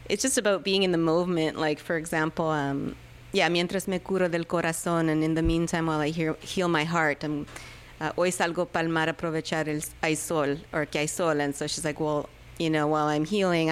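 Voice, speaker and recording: A woman's voice: deep voice, little pitch movement, a little fast, clean tone. A woman in her thirties whose first language is Spanish, chatting hesitantly as a guest musician in a radio studio, with many fillers and restarts. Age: 30s. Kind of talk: casual radio interview chat.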